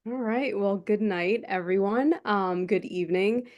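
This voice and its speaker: A woman's voice: medium pitch, unhurried, dull audio. A woman from New Jersey, a children's book author whose first language was Portuguese, introducing herself on a panel in a reflective, storytelling way. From New Jersey, USA. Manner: warm, reflective storytelling with frequent "um". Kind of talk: panel talk, personal introduction.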